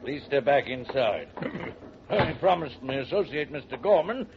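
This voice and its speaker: An actor playing a polite, flustered old gentleman, fussy and dithering in radio drama style. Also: mid-range voice, very animated, unhurried. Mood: stiff, apologetic. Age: older. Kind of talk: 1940s radio character acting. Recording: dull, noisy old radio recording.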